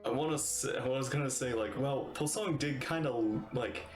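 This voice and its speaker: A man streaming a video game, chatting casually and rambling excitedly as he reacts. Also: medium pitch, moderate pace. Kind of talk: casual livestream commentary. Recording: roomy room sound with game music.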